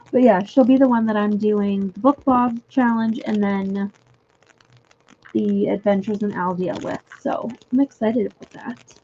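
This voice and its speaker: A young woman chatting casually with viewers on a livestream from home, giggly and full of fillers, her voice going a little hoarse. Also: medium pitch, fairly even intonation, measured pace, phone-quality sound. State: losing her voice. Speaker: livestream host. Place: home room.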